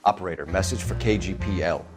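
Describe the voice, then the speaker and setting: A man's voice: deep voice, fast talker, loud music behind the voice, natural voice. An American man playing an earnest, by-the-book 1940s police detective in a video game, formal and insistent. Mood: businesslike. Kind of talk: dramatic video game acting.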